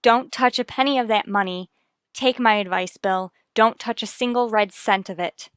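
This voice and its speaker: A woman playing a worried, firm mother with a measured, formal manner in a radio soap opera. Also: mid-range voice, clear, resonant tone, no echo, studio quality, natural voice. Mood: insistent.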